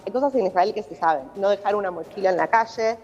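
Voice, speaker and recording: A woman's voice: medium pitch, fast talker, darkish tone. A woman with an Argentine accent, a journalist explaining a situation in a live news interview. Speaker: journalist reporting from abroad. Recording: muffled remote link, long reverberation.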